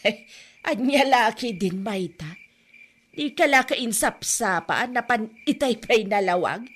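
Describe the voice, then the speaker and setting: Animated, meddling older village woman played by an actress. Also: a little high, measured pace, husky. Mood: nosy, chiding. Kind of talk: comedy radio drama acting.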